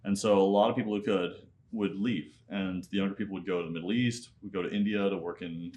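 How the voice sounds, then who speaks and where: Low-voiced American-sounding man reflecting on his life. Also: fairly even intonation, fast talker, darkish tone. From Nepal. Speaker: interview guest, MBA student and entrepreneur. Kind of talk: reflective conversational storytelling.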